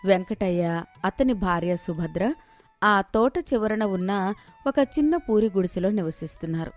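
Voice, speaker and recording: A woman's voice: medium pitch, animated, unhurried, soft background music. A woman reading scripted narration as the storyteller of a moral tale.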